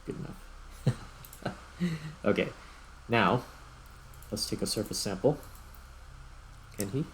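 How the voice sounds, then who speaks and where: A man with a fairly low voice narrates his own gameplay in a casual way. He thinks aloud in short, broken phrases as he goes. There is noticeable background noise on the recording. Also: animated, slow. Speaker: gaming YouTuber, host.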